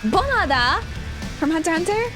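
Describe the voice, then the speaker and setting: A woman streamer giving casual, animated gameplay commentary, joking and slangy, prone to excited outbursts. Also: very high-pitched, normal speed. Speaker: streamer playing an online role-playing game. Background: game music.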